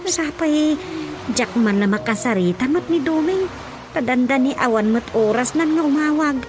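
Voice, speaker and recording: A woman's voice: high-pitched, animated, normal speed, noisy background. A woman playing an outspoken young wife and grown daughter in a radio drama comedy, direct and earnest, with an Ilocano accent. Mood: earnest concern. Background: music.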